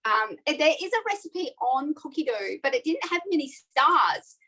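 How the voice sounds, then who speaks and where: A woman's voice: sits high, very animated, moderate pace, sharp tone, low-quality recording. A middle-aged woman, chatting her way through a live online cooking demo with frequent ums. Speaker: cooking class host.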